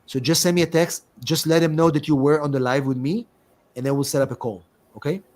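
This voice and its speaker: A man's voice: fairly high pitch, steady tone, a little fast, a little mellow. Israeli-accented man hosting a live Q&A. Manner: conversational, many fillers and restarts. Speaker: immigration attorney, live stream host. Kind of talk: live Q&A stream.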